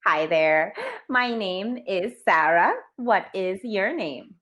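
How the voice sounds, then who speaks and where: A woman from New York with a New York accent, an online English tutor speaking slowly and clearly on a video call, encouraging and gently correcting. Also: mid-range voice, lively intonation, dull audio. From New York, USA. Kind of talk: slow, clear tutoring conversation. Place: home teaching room with toys.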